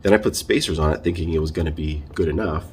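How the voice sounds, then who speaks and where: A man with a very low voice talks through a casual how-to vlog. He is conversational and halting, often doubling back to correct himself. Clear background noise sits behind his voice. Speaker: video creator showing a car radio install.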